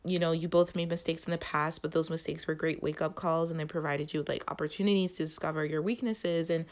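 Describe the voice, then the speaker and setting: A woman's voice: low voice, fairly even intonation. A woman hosting a tarot reading for her viewers, sounding nasal from allergies and often stumbling as she searches for words. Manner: halting, searching for words, many "like" fillers. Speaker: tarot reader and channel host.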